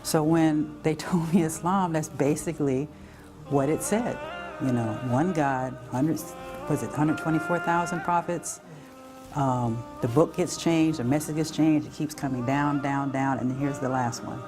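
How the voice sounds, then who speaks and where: An American woman shares a personal story in a casual, conversational way. Music plays clearly behind her voice throughout. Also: deep voice, a little slow. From United States, raised on military bases. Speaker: interviewee telling a conversion story.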